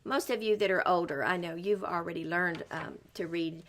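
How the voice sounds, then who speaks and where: A woman hosting a story time for young children, encouraging and expressive as she questions a guest and speaks straight to the kids. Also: on the low side, normal speed, slight background noise.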